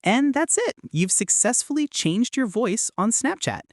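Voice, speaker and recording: A man narrating a scripted how-to tutorial as its host, giving clear step-by-step instructions. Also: very high-pitched, sing-song, unhurried, dry recording, studio quality.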